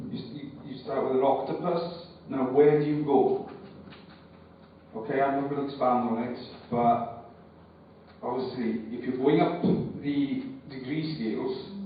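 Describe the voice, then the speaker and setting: A man's voice: mid-range voice, a little hiss or hum. Man speaking far off in echoey hall. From Wales. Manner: hesitant, repeats words, cautious. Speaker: audience member speaking at a public meeting. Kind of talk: unscripted public speech. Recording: echoey hall, far from mic, dull sound.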